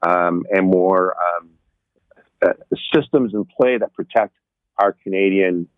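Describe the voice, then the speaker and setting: A man's voice: sits low, takes it slowly, dry recording. Man talking over a tinny phone line. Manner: earnest, repeats words. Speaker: radio guest. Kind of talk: casual talk radio chat.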